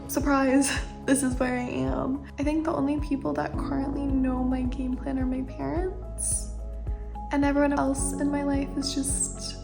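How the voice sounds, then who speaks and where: A woman's voice: high voice, gravelly. Chatty young woman vlogging over music. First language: not French. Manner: chatty and upbeat, many "like" fillers. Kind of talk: casual vlog narration. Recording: loud music under the voice.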